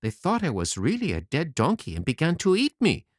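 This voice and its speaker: A man puts on a mischievous wooden marionette boy, cheeky and quick with a story. His voice leaps up and down in sing-song swings, recorded dry and close like a storybook audiobook. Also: a little high, wildly swooping pitch, no echo. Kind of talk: audiobook character reading.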